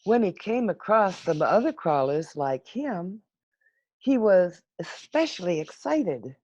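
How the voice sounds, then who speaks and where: A woman reading a children's storybook aloud in an expressive, unhurried manner with dramatic pauses, over a video call. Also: lowish voice, no echo. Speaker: storybook reader. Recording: muffled, lo-fi video call audio.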